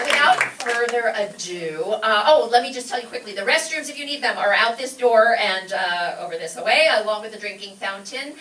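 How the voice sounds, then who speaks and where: An American woman giving an effusive, informal welcome speech to an audience as a class instructor, recorded in a live event room. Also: high-pitched. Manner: effusive, enthusiastic. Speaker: class instructor introducing a show. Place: live event room at a community learning center. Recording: roomy, distant mic with background noise.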